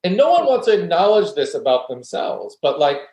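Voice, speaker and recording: Earnest higher-voiced man in an echoey room. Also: sing-song, brisk, mellow. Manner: earnest, argumentative, explanatory. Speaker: guest philosophy professor. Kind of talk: conversational interview discussion. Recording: roomy, echoey room sound.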